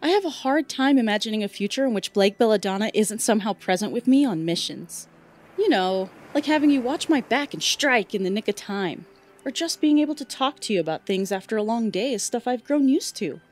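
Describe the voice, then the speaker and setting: A woman playing an earnest, affectionate teenage girl in an audiobook, speaking sincerely in halting, casual phrases. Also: on the high side, animated, a little mellow. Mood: earnest, hesitant. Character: earnest, affectionate teenage girl fighter, supportive best friend. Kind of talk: audiobook fan fiction dialogue.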